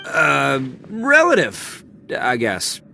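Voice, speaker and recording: An actor playing a brash, boastful but good-hearted young oni hero in a video game, with an expressive, swaggering delivery. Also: male voice, on the high side, extremely slow, creaky, faint music behind, full character voice. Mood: reassuring. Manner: swaggering, self-congratulatory, expressive. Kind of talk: animated video game voice acting.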